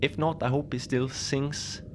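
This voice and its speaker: A man playing a lonely, unbothered polar station keeper, telling strange tales in a halting, understated, conversational way for a fiction podcast. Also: medium pitch, close to an everyday voice. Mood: worried, fond. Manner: halting, conversational, understated storytelling with small hesitations. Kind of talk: cozy horror audio fiction monologue. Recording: steady noise behind the voice.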